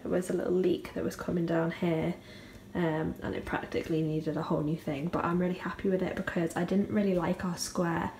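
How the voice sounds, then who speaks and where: A young woman talks casually into a phone held at arm's length in her bedroom. Her voice sits a little low for a woman, and she keeps it soft and hushed, sometimes close to a whisper. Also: little pitch movement, a little fast, a little hiss or hum. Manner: soft chatty, often hushed to a near whisper. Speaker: vlogger. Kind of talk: casual vlog chat. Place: bedroom, indoors.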